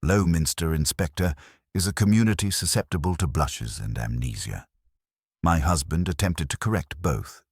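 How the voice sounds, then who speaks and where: Guy doing a prim bossy older widow in a radio mystery, formal n precise, super deep voice, pitch moves around. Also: animated, moderate pace, slightly rough, no room sound, studio quality, full character voice. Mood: prim, composed. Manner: precise, formal, aphoristic, self-possessed. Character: prim, imperious older widow of an English town.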